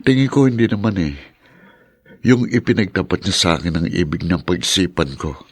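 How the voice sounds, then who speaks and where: A sincere young man in love, speaking in a low, slightly husky voice. The sound is close and dry, with no room around it. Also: lively intonation, fairly quick, no room sound. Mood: troubled. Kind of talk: radio drama acting.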